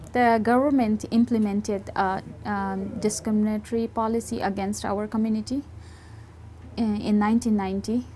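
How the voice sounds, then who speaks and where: A woman from Bhutan telling her own story in an interview, halting and searching for words. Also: mid-range voice, darkish tone, slight background noise. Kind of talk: recounting personal story.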